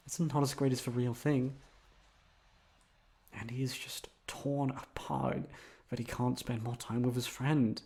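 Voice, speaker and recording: A man playing an obsessive, lovesick young man in an intimate ASMR roleplay, coaxing softly with drawn-out words and emphatic repetition. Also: medium pitch, lightly acted. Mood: anguished, obsessive. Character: obsessive lovesick young man confessing to his best friend.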